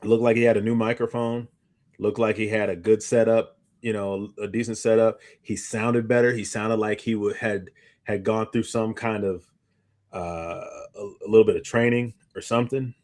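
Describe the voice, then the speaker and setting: Male podcast co-host, slightly low register, informal anecdotal delivery, rambling casual chat. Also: steady tone, normal speed, darkish tone.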